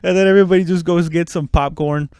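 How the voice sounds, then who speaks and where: A man's voice: high-pitched, fairly even intonation, fast, a little mellow, clean tone, no room sound, clean studio sound. A man giving a casual, rambling comedic monologue, self-deprecating and swearing freely. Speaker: comedian and podcast host.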